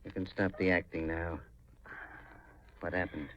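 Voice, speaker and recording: A man's voice: sits low, level tone, measured pace, fairly bright tone, dull audio, slight background noise. An actor playing a greedy, murderous middle-aged uncle in a 1950s radio mystery, calculating and insistent in his dramatic delivery. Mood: suspicious, brusque.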